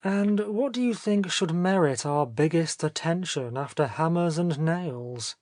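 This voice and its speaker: Guy doing an earnest older business dad, highish voice, really slow talker, story audiobook. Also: a little mellow, no room sound, clean studio sound, lightly acted. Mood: earnest, puzzled. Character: earnest older businessman father, preoccupied with hardware trade. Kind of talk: dramatic audiobook reading.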